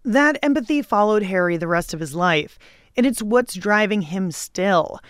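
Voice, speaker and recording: A woman hosting a radio show, reading scripted links in a brisk, upbeat, conversational way. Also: medium pitch, lively intonation, clear, resonant tone, no echo, studio quality.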